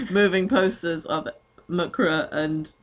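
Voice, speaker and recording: A woman's voice: lowish voice, sing-song, very slow, slightly rough. A young woman doing a comedic dramatic reading of fan fiction, giggly and dropping in mocking asides as an amateur reader. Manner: giggly, frequently breaks into laughter, mocking asides.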